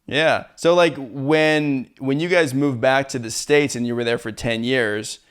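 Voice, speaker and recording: A man's voice: mid-range voice, steady tone, unhurried, clear, resonant tone. A man hosting a casual interview, chatty and loose, with many fillers and easy laughs. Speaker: interview host.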